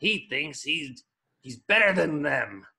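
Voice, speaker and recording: A man's voice: on the high side, animated, takes it slowly, creaky, pushed and bright, heavily acted. A man voicing a scheming, bombastic tiny cartoon villain who goads and bosses in a grandiose way. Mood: bossy, resentful. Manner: grandiose, goading. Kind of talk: cartoon script voice acting.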